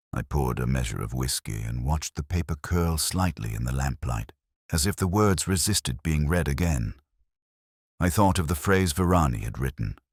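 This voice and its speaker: A man reading a scripted first-person detective story as narrator, in a measured, literary, melancholy manner. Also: a bass voice, darkish tone, breathy edge, no room sound, studio quality.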